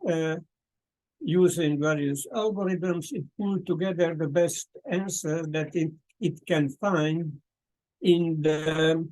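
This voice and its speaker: A man hosting a video call, his voice sitting a touch high for a man. He speaks very slowly and thoughtfully, hesitating often and filling the gaps with small sounds as he gathers his thoughts. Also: darkish tone, low-quality recording. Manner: slow and thoughtful, with many pauses and fillers. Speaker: host. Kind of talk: casual talk at an online meeting.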